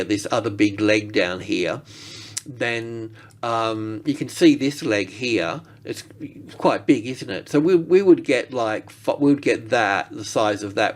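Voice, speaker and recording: A man giving casual trading commentary as a market analyst, thinking aloud in a rambling way and often rephrasing himself. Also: medium pitch.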